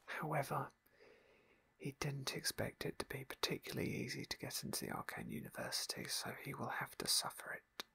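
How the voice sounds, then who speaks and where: An ASMR streamer whispering softly and hesitantly through a roleplay game playthrough. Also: medium pitch, brisk, gravelly, breathy edge. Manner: soft unvoiced whisper, gentle and hesitant.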